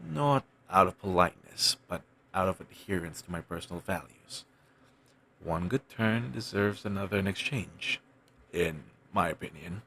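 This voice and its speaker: A man playing a wistful, self-doubting wandering bard in an intimate audio roleplay, musing in courteous, formal phrases with thoughtful pauses and a gravelly, husky voice. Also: sits low, fairly bright tone, a little hiss or hum. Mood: courteous, pensive. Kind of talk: intimate one-sided audio roleplay acting.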